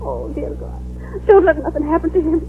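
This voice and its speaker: A woman's voice: very high voice, fairly quick. An actress playing a frightened, loyal maid who stammers and prays as she talks, in an old radio drama. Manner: stammering, fretful, pious. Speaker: maid to a missing woman. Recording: muffled scratchy old radio broadcast with faint hiss.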